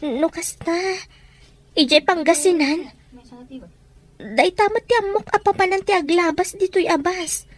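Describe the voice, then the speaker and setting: A woman's voice: extremely high pitch, unhurried, pauses often. A woman playing a worried young daughter who pleads for her siblings in an Ilocano radio drama, with an Ilocano accent. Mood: puzzled, searching.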